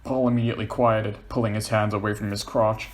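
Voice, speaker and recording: A man's voice: lowish voice, level tone, fairly quick. A man reading scripted fiction aloud as the narrator.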